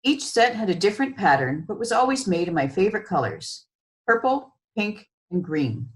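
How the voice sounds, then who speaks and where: A woman reading a children's story aloud as an author, with expressive, measured narration. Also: mid-range voice, poor audio.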